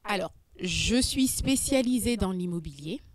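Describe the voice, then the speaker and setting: A woman in her thirties from France, a guest in an interview, speaking casually with many hesitations and pauses. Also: medium pitch, lively intonation, a crowd in the background.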